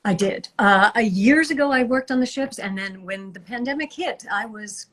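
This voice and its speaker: A woman's voice: on the high side, moderate pace. Chatty woman on a video call. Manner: chatty, warm laughs between thoughts. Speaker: guest actress. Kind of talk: casual interview chat.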